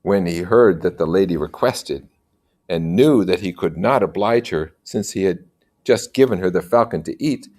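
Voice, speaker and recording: A man with a fairly low voice reads literature aloud like a teacher. He mixes plain narration with conversational, explanatory asides. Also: normal speed, darkish tone. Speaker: teacher reading and commenting on literature. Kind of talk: read-aloud story with informal explanatory asides.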